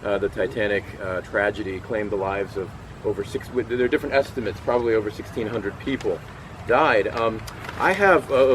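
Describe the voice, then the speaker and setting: A middle-aged man giving a first-person historical portrayal outdoors, telling his story with frequent pauses and fillers. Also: medium pitch, background noise, close to an everyday voice. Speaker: historical portrayer at a cemetery event. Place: outdoors in a cemetery. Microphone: far camera.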